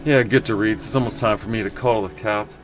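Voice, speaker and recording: Rambling low-voiced man streaming a game. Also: fairly even intonation, brisk, soft background music. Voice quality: loud voice. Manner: rambling, self-correcting, chatty asides to viewers. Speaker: livestream game player. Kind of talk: casual gameplay commentary.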